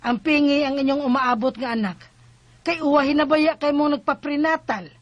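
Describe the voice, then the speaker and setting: Actress as a high-voiced, knowing mother. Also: fairly even intonation, moderate pace, no echo, highs cut off, natural voice. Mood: knowing, advising. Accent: Cebuano Bisaya. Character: knowing, advising middle-aged mother of the family. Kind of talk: Bisaya radio drama acting.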